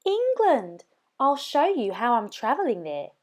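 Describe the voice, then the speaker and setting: A woman's voice: high voice, huge pitch swings, unhurried, darkish tone, heavily acted. A woman voicing a friendly, adventurous young woman inventor in a storybook, keen and chatty. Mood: eager.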